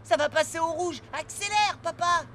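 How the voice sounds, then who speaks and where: A woman's voice: extremely high pitch, moderate pace, a bit bright, a little hiss or hum. A woman playing a curious young schoolchild in a French-dubbed children's cartoon, questioning and impulsive. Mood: excited.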